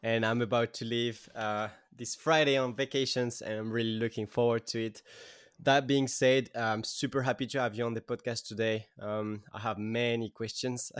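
A man's voice: medium pitch, clean tone, dry recording, studio quality. A man hosting a podcast, asking questions in a casual interview, with many fillers and restarts. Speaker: podcast host.

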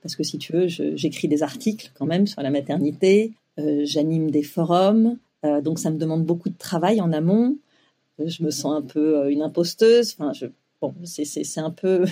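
A woman's voice: sits low, expressive, moderate pace, warm tone, slightly rough. A woman in her forties telling her own story as an interview guest, with many fillers and restarts. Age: 40s. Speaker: guest, web publisher.

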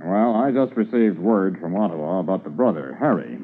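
A man playing a brisk, authoritative senior police officer in a 1950s radio drama, measured and questioning. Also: sits low, lively intonation, breathy edge. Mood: businesslike. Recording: tinny narrow-band old radio recording.

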